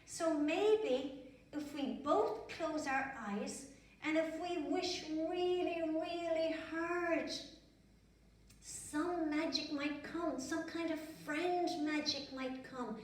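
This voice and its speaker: Lady doing a sweet earnest little boy from a storybook, high voice, slow and gentle, echoey. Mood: gentle, hopeful. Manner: gentle, slow, deliberate, reassuring. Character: kind, earnest young boy, loyal best friend. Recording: very echoey, distant mic, faint noise.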